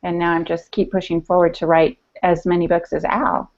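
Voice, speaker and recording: A woman's voice: lowish voice, little pitch movement, brisk, darkish tone. A woman chatting casually as a podcast co-host, in a conversational, bantering style. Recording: dull lo-fi podcast audio.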